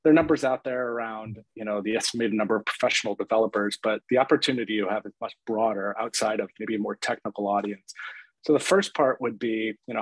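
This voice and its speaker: A man's voice: lowish voice, slightly rough, poor audio. A man asking questions as an analyst on an earnings call.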